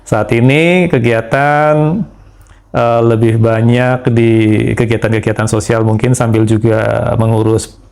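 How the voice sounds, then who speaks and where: Middle-aged man, measured and pausing. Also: mid-range voice, steady tone, gravelly, a little mellow. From Indonesia. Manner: measured, with frequent pauses and fillers. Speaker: interview guest. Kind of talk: conversational testimony.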